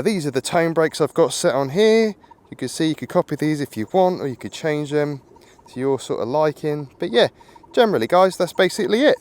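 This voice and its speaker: A man with a husky voice from a cold, chatting casually while metal detecting in an open field outdoors. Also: on the high side. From England. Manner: chatty, rambling, upbeat, laughs often. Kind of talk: casual outdoor vlog chat.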